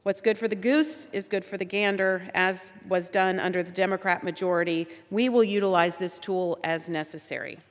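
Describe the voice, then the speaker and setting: A woman reading prepared remarks in a measured way during a House floor debate, recorded in a large legislative chamber. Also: fairly low pitch, steady tone. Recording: long hall reverb.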